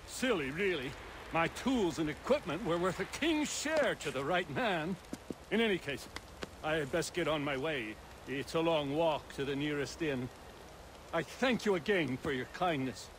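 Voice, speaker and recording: A courteous colonial woodworker played by a man in a video game. His fairly high voice is modest and chatty, with old-fashioned politeness. Faint rain-like ambience lies behind him. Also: lively intonation, normal speed, noisy background, lightly acted. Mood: grateful, rueful. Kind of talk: video game cutscene acting.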